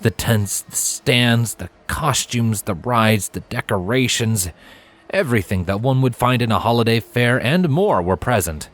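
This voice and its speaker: A man reading fan fiction aloud as an expressive, scripted storyteller. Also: mid-range voice, unhurried.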